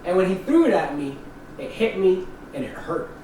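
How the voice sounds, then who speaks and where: Young male co-host, animated storyteller, somewhat high voice, distant mic, steady room hiss. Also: normal speed. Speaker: podcast co-host. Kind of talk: casual chat and storytelling. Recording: echoey room, distant mic, background noise.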